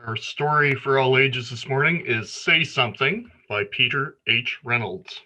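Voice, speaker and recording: Bright-voiced man reading a children's story. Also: medium pitch, measured pace, poor audio. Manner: expressive storytelling. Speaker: story reader. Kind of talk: reading a children's picture book aloud.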